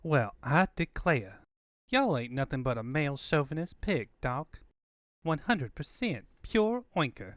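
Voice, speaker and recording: Man acting sassy cartoon rabbit woman, folksy Southern drawl, very animated, dry close sound, music behind. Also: a little high, measured pace, dry recording. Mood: feisty. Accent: American South. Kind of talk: cartoon-style comic fandub.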